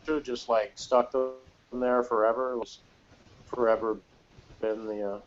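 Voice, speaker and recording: A man's voice: medium pitch, flat delivery, measured pace, soft background music. Rambling man chatting on a lo-fi podcast. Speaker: podcast co-host reviewing a TV episode. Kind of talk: casual chat. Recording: dull, lo-fi sound.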